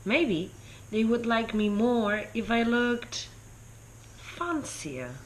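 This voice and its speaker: A woman's voice: on the high side, sing-song, drawn-out words, exaggerated voice, low-quality recording. A woman plays a vain, needy king pig in a children's storybook. He is bossy and self-pitying, a sulky ruler who demands to be liked. Faint noise sits behind the voice. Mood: vain, wondering.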